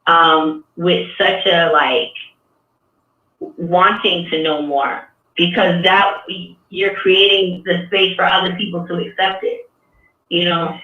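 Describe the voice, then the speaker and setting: A woman's voice: on the low side, steady tone, takes it slowly. Earnest woman in an echoey room. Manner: earnest, thinking aloud, many restarts. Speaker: artist. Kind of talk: casual panel discussion. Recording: dull, echoey sound from a distance.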